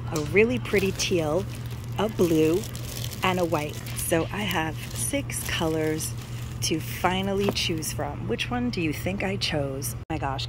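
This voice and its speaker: A woman's voice: lowish voice, noisy background. A woman chatting casually and enthusiastically as she shows products in a store, recorded on a handheld phone. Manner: gushing, enthusiastic about products. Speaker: shopping vlogger. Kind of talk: casual store walk-through chat. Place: inside a large retail store.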